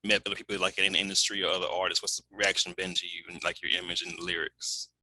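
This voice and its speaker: A man hosting an interview, asking relaxed questions with many fillers and restarts. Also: low voice, quick pace, rough recording. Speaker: interview host. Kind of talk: casual interview.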